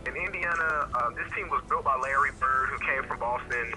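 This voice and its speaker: A man giving casual sports commentary in a relaxed back-and-forth radio discussion. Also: mid-range voice, a little fast, soft background music, poor audio.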